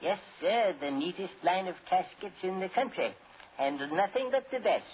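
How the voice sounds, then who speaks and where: An eerie old casket salesman in a vintage radio horror play. He is folksy, halting and insistent, with a lively, swooping delivery and polite chuckles. The sound is thin and hissy, like an old broadcast. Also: high voice. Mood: eager sales pitch. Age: older. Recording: tinny, noisy old radio broadcast recording.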